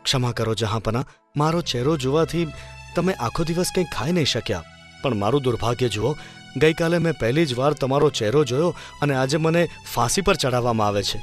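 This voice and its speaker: A man playing a frightened, unlucky commoner in a cartoon, pleading for mercy. His voice stays at an ordinary pitch. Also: lively intonation, brisk, faint music behind, close to an everyday voice. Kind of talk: cartoon storybook dub.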